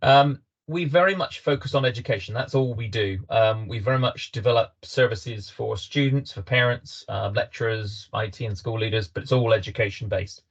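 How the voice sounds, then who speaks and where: A man's voice: medium pitch, normal speed, few pauses, lo-fi sound. British man hosting a business webinar. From Britain. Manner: hesitant, many ums and restarts. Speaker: webinar host, company representative.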